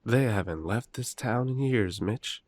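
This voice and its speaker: A man playing a level-headed, wry werewolf brother in an audio roleplay, speaking casually and in a measured way. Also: lowish voice, a little mellow, dry recording.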